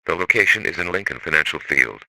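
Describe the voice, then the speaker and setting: Male alert announcer, scripted broadcast read, hard bright tone with animated inflection. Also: deep voice, quick pace, creaky, dry recording, low-quality recording.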